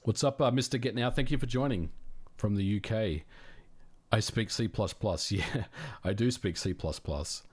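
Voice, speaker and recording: A man with an Australian accent hosting a casual livestream, answering viewer questions in a relaxed, friendly way with frequent fillers and restarts. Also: on the low side, normal speed, husky. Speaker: livestream host.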